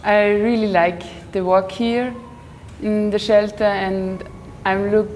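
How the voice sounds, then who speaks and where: Slow-speaking young Austrian woman volunteer. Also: medium pitch, little pitch movement, a little mellow. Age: young adult. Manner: simple halting phrases. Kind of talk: on-camera interview. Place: tiled indoor room. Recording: hall reverb, faint noise.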